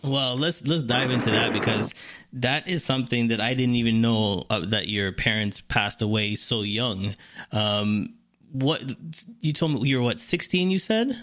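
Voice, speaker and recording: A man's voice: medium pitch, a little slow, a bit bright. Guy hosting a chat show, mid voice, loose friendly questions. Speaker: podcast host. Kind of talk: casual interview.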